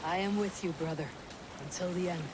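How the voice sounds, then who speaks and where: A man playing a loyal, grave Viking warrior in a video game, measured and earnest in speech. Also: high voice, lightly acted. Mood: loyal, resolute. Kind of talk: dramatic video game cutscene acting. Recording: loud sea and wind noise behind.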